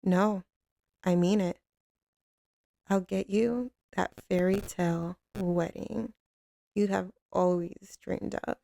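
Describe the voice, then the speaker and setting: Woman playing a tough mafia queen, tender and doting, slow intimate ASMR delivery, dark mellow tone, heavy vocal fry, swaggering slang. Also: medium pitch, fairly even intonation, no room sound, clean studio sound. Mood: doting, earnest. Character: tough, commanding female mafia boss, tender and doting with lover. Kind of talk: intimate ASMR roleplay acting. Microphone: close studio microphone, dry.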